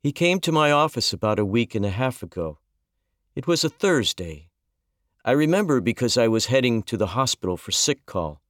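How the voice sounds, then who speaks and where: A man voicing a calm, gentle middle-aged reverend who speaks softly and consolingly. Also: mid-range voice, dry recording, studio quality, close to an everyday voice. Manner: soft-spoken, measured, consoling.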